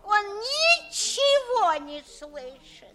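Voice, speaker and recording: Very high, doddering old countess. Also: female voice, sing-song, exaggerated voice. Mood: agitated, querulous. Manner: halting, exclaiming, asks again loudly. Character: doddering, half-deaf old countess grandmother, querulous and slow. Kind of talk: 1950s Russian radio stage acting. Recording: 1950s radio theatre recording, faint music.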